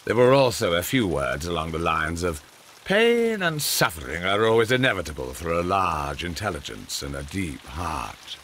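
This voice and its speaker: Imperious older-brother statesman, British, low but forceful, pompous and insinuating game villain-like gravitas, rain noise behind. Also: big pitch swings, normal speed. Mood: probing, measured. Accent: British English. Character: imperious, calculating older brother, Victorian government gentleman. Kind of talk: video game cutscene acting.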